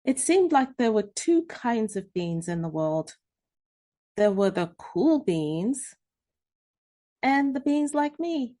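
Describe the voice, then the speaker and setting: A woman narrating a children's storybook, reading expressively with drawn-out emphasis and dramatic pauses. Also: a little high, sing-song, warm tone. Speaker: read-aloud host narrating children's books. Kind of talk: scripted children's storybook narration in first person.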